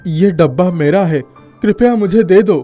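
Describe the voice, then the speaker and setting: A man's voice: high voice, a little slow, warm tone, soft background music. A man voicing a brave young prince in a cartoon fairy tale, polite and earnest. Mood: earnest, determined.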